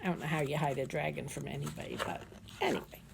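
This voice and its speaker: A woman reading a children's picture book aloud as a storytime reader, expressive and playful, adding chatty asides and questions for the listeners. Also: sits low, quick pace, vocal fry, background noise.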